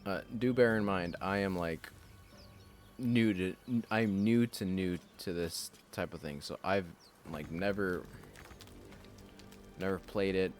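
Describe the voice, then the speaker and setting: A man hosting a casual game play-through, talking in a loose, rambling way with a slightly raspy voice. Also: sits low, slow pace, soft background music. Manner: loose and rambling, with many fillers. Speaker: host.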